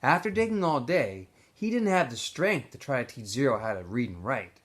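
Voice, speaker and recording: Man reading a novel aloud, very animated pitch. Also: moderate pace. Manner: informal, stumbles and corrects himself while reading. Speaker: teacher reading aloud to students. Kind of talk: read-aloud storytelling of a children's novel.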